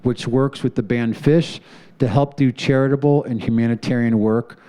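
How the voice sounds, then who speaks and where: A man's voice: medium pitch, moderate pace. A middle-aged man hosting a live community TV studio show, talking in a proud, easygoing way with plenty of fillers. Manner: proud, relaxed, rambling with fillers. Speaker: show host.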